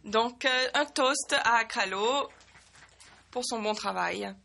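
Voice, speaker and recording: A woman with a fairly high voice works as a simultaneous interpreter at a meeting. She talks in uneven bursts, often breaking off mid-word to restart, and fills the gaps with short hesitations. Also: gravelly, pushed and bright. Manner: halting, frequent restarts and fillers. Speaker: conference interpreter.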